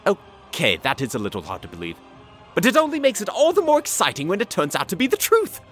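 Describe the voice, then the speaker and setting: Manic, teasing adventurer stallion, acted for a fan audio story: cocky, hugely varied pitch, light music underneath. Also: huge pitch swings, fast talker, a bit bright. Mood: exasperated, teasing. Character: cocky, flippant, manic male alien-hunting adventurer stallion.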